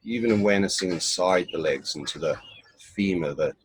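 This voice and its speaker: A man leading a guided body scan meditation in an unhurried, instructional way, pausing between short phrases. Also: low-pitched, breathy edge.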